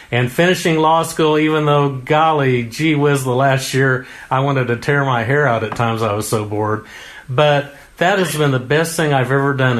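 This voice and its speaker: Man, political candidate, folksy, homespun talk, mid-pitched voice. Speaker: congressional candidate. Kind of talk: political interview answers.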